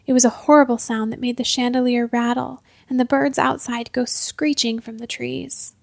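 A woman reading a scripted story as narrator, in a measured way with dramatic pauses. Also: fairly high pitch, flat delivery, vocal fry, dry recording.

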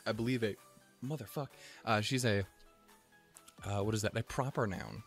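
A man from Montana giving rambling, profane, jokey reaction commentary on an anime episode, with sudden goofy pitch jumps. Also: moderate pace, soft background music.

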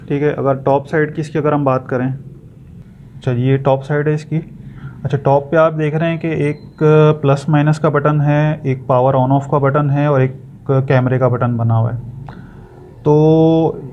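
A man gives an informal step-by-step product tutorial, explaining patiently and often checking in with short tags. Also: medium pitch. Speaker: product reviewer giving a tutorial. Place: indoor room, tabletop demonstration. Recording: dull sound with background noise.

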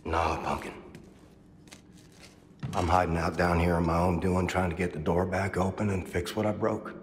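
An actor playing a guilt-ridden middle-aged soldier father in a video game, speaking haltingly and gravely. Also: low-pitched, level tone, slight background noise. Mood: grim, guarded. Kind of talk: dramatic video game cutscene acting. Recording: cavernous reverb, loud score underneath.

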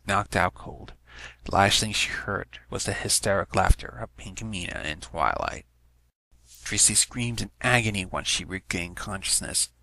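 Low creaky man reading a story. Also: sharp tone, low-quality recording. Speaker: narrator. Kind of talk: scripted story reading of fan fiction.

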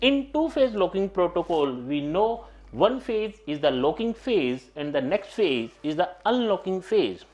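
A middle-aged man lectures at a whiteboard, his pitch rising and falling widely as he stresses each point. He keeps repeating key ideas and turns often to address his students directly. He speaks through a clip-on mic in a small studio classroom. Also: sing-song, unhurried, a little mellow. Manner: repetitive, emphatic teaching, often addressing "my dear students". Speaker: teacher. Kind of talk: exam-prep lecture at a whiteboard.

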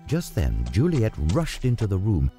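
A man reading a scripted story as narrator in a measured, dramatic way. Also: on the low side, huge pitch swings, mellow. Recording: music under the voice. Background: background music.

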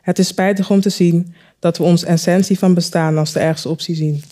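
A woman storyteller performing a spoken word piece, with deliberate, dramatic phrasing and rhetorical questions. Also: lowish voice, fairly even intonation, warm tone. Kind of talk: spoken word recitation, poetic monologue.

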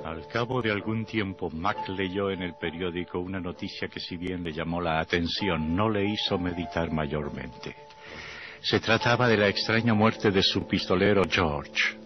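Man as deep-voiced, sinister horror narrator. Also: moderate pace, natural voice. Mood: grim, dramatic. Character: sinister male horror storyteller, grim, relishing gore. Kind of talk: scripted radio drama narration. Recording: muffled old radio recording with music.

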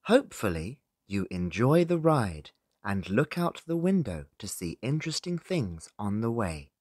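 British man, English teacher, slightly low voice, very expressive pitch, scripted lesson narration, dry sound. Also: unhurried, no room sound. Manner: simple, clearly separated phrases for learners.

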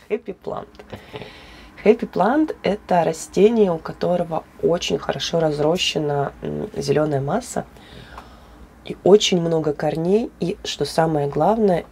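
Hoarse, gravelly woman talking orchids. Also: low-pitched, slight background noise. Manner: chatty, animated, with laughs and fillers. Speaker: orchid grower. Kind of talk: casual hobby vlog talk.

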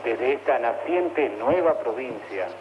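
A man's voice: medium pitch, animated, slow, dull audio, noisy background. A man with an Argentine Spanish accent speaking formally as a radio announcer over a long-distance link. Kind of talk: formal message read slowly for dictation.

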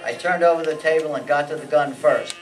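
A man's voice: on the high side, steady tone, brisk, close to an everyday voice. Older man as a terse hard-boiled detective, distant. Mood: matter-of-fact. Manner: terse, matter-of-fact. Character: hard-boiled, sardonic male private detective narrator. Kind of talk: old-time radio acting and noir narration. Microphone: stand microphone on stage, heard from audience. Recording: live stage pickup from far off, music.

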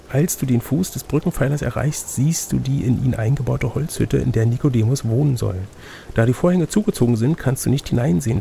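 A man's voice: medium pitch, gravelly, warm tone, a little hiss or hum. A man acting as reader and game master, reading an adventure gamebook aloud in a conversational way.

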